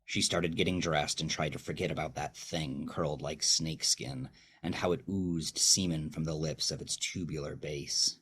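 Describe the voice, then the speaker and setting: A man narrating dark fiction as a horror story host, with slow, brooding, deliberate dramatic delivery and a very deep, husky voice. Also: fairly even intonation.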